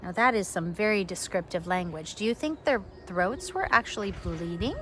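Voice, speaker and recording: A woman teacher reading a storybook to pupils, expressive, with casual asides and questions to the young listeners. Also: on the low side. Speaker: elementary school teacher.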